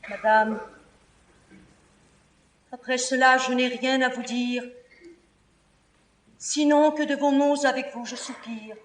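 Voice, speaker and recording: An actress playing a devoted, respectful confidante to a princess, declaiming classical French verse tragedy in an earnest, theatrical way. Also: female voice, high-pitched, fairly even intonation, slow pace, long pauses, slight background noise. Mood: sympathetic. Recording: roomy, distant theatrical studio recording.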